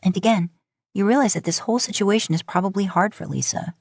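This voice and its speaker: A woman's voice: medium pitch, fast, darkish tone, no room sound, clean studio sound. A woman reading scripted fiction aloud as a podfic narrator.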